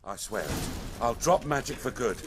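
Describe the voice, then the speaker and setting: A man's voice: mid-range voice, lively intonation, normal speed, background noise, lightly acted. A man voicing a desperate fugitive mage in a video game.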